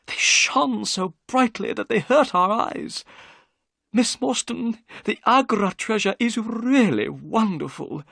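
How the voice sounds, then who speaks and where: A narrator voicing a nervous, talkative gentleman who chatters eagerly in a raised, fussy voice. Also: medium pitch, big pitch swings, moderate pace, no echo, studio quality, pitched above the performer's own voice.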